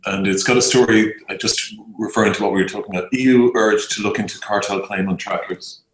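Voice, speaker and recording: A man's voice: fairly low pitch, fairly even intonation, brisk. An Irish man hosting a panel, giving brief prompts to the others. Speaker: host. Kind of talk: moderating a panel. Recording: roomy with faint noise.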